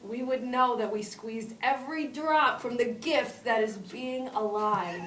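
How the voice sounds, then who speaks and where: A woman's voice: on the high side, a little slow, fairly bright tone. A woman playing a weary, sentimental middle-aged male movie star in grief at a comedy table read. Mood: mournful, sentimental. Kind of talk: live comedy script read-through. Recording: roomy, distant mic, faint noise.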